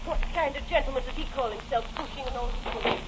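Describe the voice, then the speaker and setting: On a dull, faintly noisy vintage radio recording, a flustered old housekeeper. Her voice is very high and rapid, fussy and full of indignation. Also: a bit bright. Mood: indignant. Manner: scolding, fussy. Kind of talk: 1940s radio drama acting.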